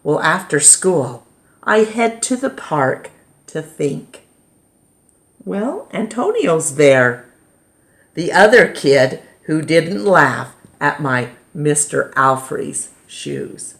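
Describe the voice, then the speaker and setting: Lady doing storytime, super slow, lots of pauses, voice goes up and down a lot. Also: deep voice, sing-song, a bit bright. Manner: dramatic pauses and emphasis. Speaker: storytime reader. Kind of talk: expressive children's picture book read-aloud.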